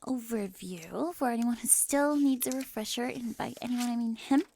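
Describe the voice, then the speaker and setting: A woman's voice: on the high side, normal speed, mellow, slight background noise, natural voice. A woman playing a prim, hyper-organized popular teen girl in an ASMR roleplay, fussy, officious and over-precise. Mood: flustered, officious. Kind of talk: scripted ASMR audio roleplay.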